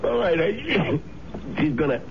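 An actor in a 1970s radio drama playing a sick, winded lodger turned reluctant killer, halting and quietly threatening. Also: male voice, on the high side, big pitch swings, creaky, a crowd in the background, full character voice. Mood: sick, tense. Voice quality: winded, breathless. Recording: noisy, muffled old radio broadcast.

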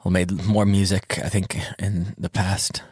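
A young adult man, a DJ, speaking in a relaxed interview, laughing often as he tells stories with animated quoting. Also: sits low, a little slow, slightly rough, no room sound, studio quality. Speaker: DJ and interview guest.

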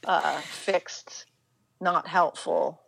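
A middle-aged American woman speaking reflectively as an interview guest, halting and emphatic, often acting out her own inner dialogue. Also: deep voice, fairly even intonation, vocal fry, a bit bright, no echo, low-quality recording. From United States, Pittsburgh, Pennsylvania.

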